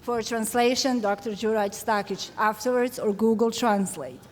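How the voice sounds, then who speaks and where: A woman's voice: a little high, normal speed. Woman giving a graduation speech. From Bosnia. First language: Serbian. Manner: warm, joking, laughs between thanks. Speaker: graduating student. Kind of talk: commencement speech. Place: large hall at a graduation ceremony. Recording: echoey hall with a crowd.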